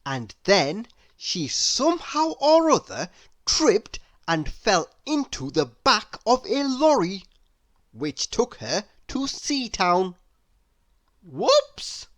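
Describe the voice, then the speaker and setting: A woman narrating a children's picture book aloud in an expressive, deliberate, theatrical storytelling manner. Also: mid-range voice, no room sound. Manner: deliberate, theatrical, with playful exclamations.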